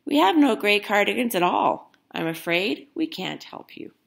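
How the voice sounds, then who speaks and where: A woman's voice: mid-range voice, big pitch swings, normal speed, creaky, background noise. A woman voicing a polite, apologetic young male shop clerk in a picture book. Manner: courteous, apologetic.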